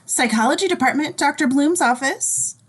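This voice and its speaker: A woman's voice: sits high, moderate pace, lightly acted. A woman playing a young graduate assistant in a table read, polite, helpful and hesitant. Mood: polite, unsure. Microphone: video call.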